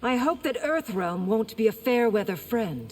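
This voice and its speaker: Regal warrior princess in echoing hall. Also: female voice, on the high side, lively intonation, normal speed, darkish tone. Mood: proud, grave. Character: regal, proud, long-lived warrior princess. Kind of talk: video game fight intro dialogue. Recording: long hall reverb.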